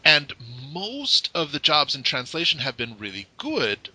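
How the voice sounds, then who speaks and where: A man hosting a casual interview, chatty and quick to laugh as he tells anecdotes. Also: fairly high pitch, huge pitch swings, sharp tone, rough recording. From from the West, living in Japan. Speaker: podcast host.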